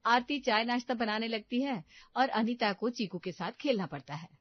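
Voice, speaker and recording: A woman's voice: on the high side, expressive, normal speed, no echo, lo-fi sound. A woman narrating a scripted story as its storyteller.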